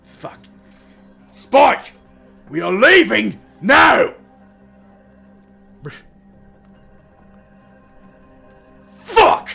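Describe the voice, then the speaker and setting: A man voicing gruff, agitated orc villagers in a tabletop game, speaking bluntly with halting, swearing urgency. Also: very high voice, sing-song, bright, forceful tone, faint music behind, voice pushed up, heavily acted. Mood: irritated, defiant. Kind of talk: in-character tabletop game voices.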